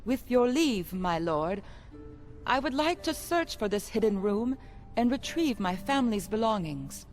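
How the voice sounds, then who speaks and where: A woman's voice: on the high side, big pitch swings, measured pace. An actress playing a proud, courteous elven noblewoman in a video game, speaking formally and politely. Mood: formal, pleading. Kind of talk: video game cutscene acting. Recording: game music behind the voice. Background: game music.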